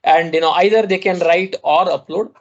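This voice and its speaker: A man with an Indian English accent presenting an online product demo, talking haltingly with many restarts and "you know" fillers. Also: sits high. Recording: dull lo-fi audio.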